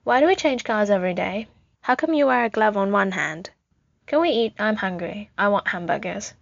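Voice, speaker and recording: A woman's voice: medium pitch, lively intonation, normal speed, vocal fry, no room sound, lo-fi sound. A woman voicing a small, frightened yet demanding boy in a story, with pleading, childish questioning. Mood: pestering, curious.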